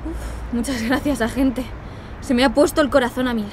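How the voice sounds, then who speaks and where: A woman's voice: high-pitched, steady tone, moderate pace, darkish tone, lightly acted. An actress playing a wary, nervous young woman being lured away, in an audio drama with an anxious, hesitant manner. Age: young adult. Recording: noisy outdoor street and car ambience.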